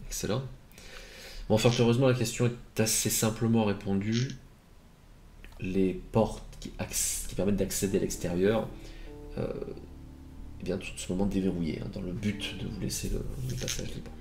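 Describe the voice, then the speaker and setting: A man narrating as game master of a tabletop game, relaxed and easygoing, often filling pauses with small words. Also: lowish voice, roomy sound. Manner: relaxed, easygoing, fills with hein and euh.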